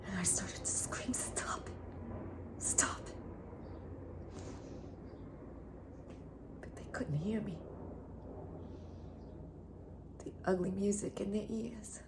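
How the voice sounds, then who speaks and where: A woman playing a traumatised, lonely young woman in a theatre monologue, halting and intense, often slipping into a breathy hush. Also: medium pitch, whispered, close to an everyday voice. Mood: distressed.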